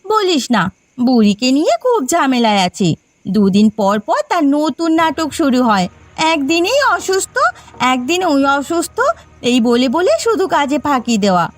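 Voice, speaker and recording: High, scornful scheming village daughter-in-law played by a woman. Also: big pitch swings, moderate pace, no echo. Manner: scornful, bossy, scolding. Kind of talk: animated moral story voice acting.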